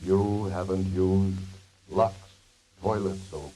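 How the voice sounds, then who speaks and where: An actor playing a blunt, truthful magic mirror, reciting solemnly in measured rhyme in an old radio skit. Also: male voice, sits low, steady tone, dark tone, soft background music. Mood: solemn, frank. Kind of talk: 1930s radio acting in an ad skit. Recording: hissy old 1930s radio recording.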